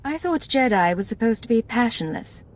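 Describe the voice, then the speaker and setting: An actress playing a secret agent in a video game, speaking in a terse, dry, businesslike way. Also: female voice, fairly high pitch, lively intonation, moderate pace. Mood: dry, taunting.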